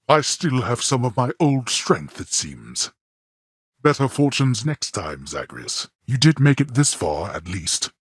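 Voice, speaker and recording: An ancient, stern king of the underworld in a fantasy video game. The voice is very low and heavily husky, with a gravelly edge and dramatic swings in pitch. He speaks in formal, archaic phrases, like an imperious and bitter father handing down judgment. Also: no echo, clean studio sound, full character voice. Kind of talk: theatrical video game voice acting.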